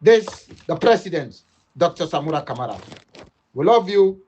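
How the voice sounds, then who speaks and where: A man's voice: fairly high pitch, sing-song, normal speed, muffled recording, background noise. A middle-aged man giving an impassioned political appeal, emphatic and repetitive, switching between English and Dutch. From Sierra Leone. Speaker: political commentator.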